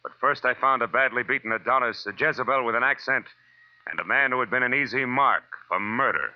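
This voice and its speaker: An actor playing a wry, world-weary American private detective, narrating in a 1940s radio drama style. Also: male voice, medium pitch, brisk, slight background noise, close to an everyday voice. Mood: punchy, dramatic. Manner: hard-boiled, wry, slangy. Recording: muffled vintage radio recording, loud music.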